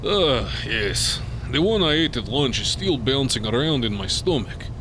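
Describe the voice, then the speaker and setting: A man's voice: medium pitch, very animated, a little slow, noisy background. A man playing a dry, wry space station crewman who speaks clipped, broken English in a sci-fi audio drama. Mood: wry, puzzled. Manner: clipped grammar, dropped articles, dry.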